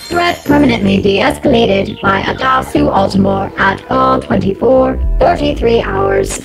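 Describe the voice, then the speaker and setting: Very deep, gravelly, menacing female enforcer. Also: unhurried, music in the background, full character voice, low-quality recording. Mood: cold, triumphant. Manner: deadpan, grandiose pronouncements. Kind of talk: audio drama acting.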